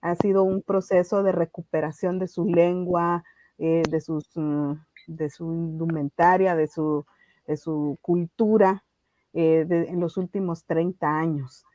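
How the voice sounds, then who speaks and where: A woman with a Guatemalan Spanish accent, speaking reflectively and haltingly as a guest in an interview. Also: fairly low pitch, steady tone, mellow. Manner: thoughtful, halting delivery. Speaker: activist guest. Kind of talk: reflective radio interview talk. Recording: slightly dull, lo-fi audio.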